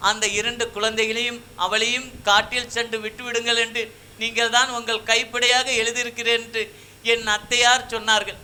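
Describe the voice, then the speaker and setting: A man's voice: very high voice, little pitch movement, fairly quick, sharp tone, heavily acted. A man with a Tamil Nadu accent voicing a wronged, devout young wife and mother in formal folk puppet-theatre speech. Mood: accusing. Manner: plaintive, declamatory. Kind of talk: folk shadow puppet drama, formal stage diction.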